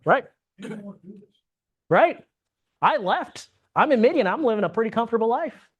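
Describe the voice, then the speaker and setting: Bible class teacher, chatty lesson, keeps going "right?", restarts a lot, mid pitch. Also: expressive, moderate pace, breathy edge, phone-quality sound. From United States. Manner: checks in with frequent tag questions; restarts and repeats words mid-sentence.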